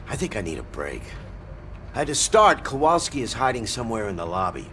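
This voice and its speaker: A man's voice: medium pitch, sing-song, brisk, a bit bright, slight background noise. An actor playing a friendly, earnest policeman in a video game, talking informally with some hesitation.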